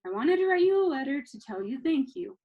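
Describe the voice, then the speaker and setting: A woman's voice: sits high, very animated, brisk, lo-fi sound, voice pushed up. A woman voicing a reluctant, awkward thirteen-year-old boy in a storybook, with a hesitant manner. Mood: earnest.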